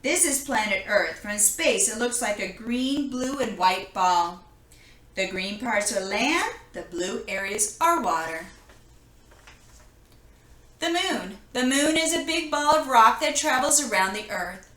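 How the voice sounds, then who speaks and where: Female storybook reader, clear-cut bright tone, expressive read-aloud for young children, roomy echoing indoor recording. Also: fairly high pitch, measured pace.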